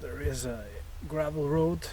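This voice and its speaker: A Norwegian man with a Norwegian accent, talking casually to the camera from a lakeside camp, relaxed and hesitant with many fillers. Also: a little high, a little slow, a little hiss or hum. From Norway. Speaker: outdoor vlogger.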